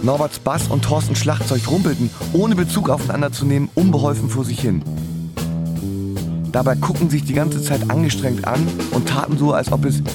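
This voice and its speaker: An actor playing a sardonic, neurotic musician who narrates his own story in a deadpan, precise way for a radio play. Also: mid-range voice, moderate pace, loud music behind the voice, close to an everyday voice. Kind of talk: scripted literary narration.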